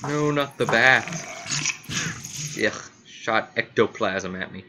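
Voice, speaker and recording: A man's voice: fairly low pitch, slow, pushed and bright. A man giving casual, rambling gameplay commentary, muttering reactions to himself as he plays. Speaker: gaming video host. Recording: clearly noisy home recording.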